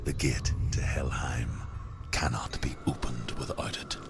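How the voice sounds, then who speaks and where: An actor playing a grim, solemn storyteller guide in a game, speaking in a deep, breathy voice. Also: male voice, fairly even intonation, a little slow, whispered, exaggerated voice. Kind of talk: dramatic game narration. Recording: music under the voice.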